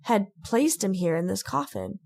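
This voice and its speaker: A woman playing a fearful, haunted lady in an old-time radio drama, earnest and halting, pleading as she speaks. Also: medium pitch, animated, creaky, darkish tone. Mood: sorrowful confiding.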